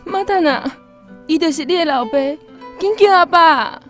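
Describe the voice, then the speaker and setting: Emotional taiwanese country woman in a radio play, super high voice. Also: animated, normal speed, soft background music. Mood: excited, tearful. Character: emotional, devout countrywoman overjoyed at a homecoming. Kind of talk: radio drama acting.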